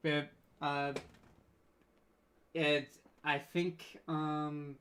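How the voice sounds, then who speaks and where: Hesitant man with an even, flat delivery. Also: on the high side, a little hiss or hum. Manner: hesitant, halting, stammering. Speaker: co-host of a panel discussion. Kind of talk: casual livestream chat.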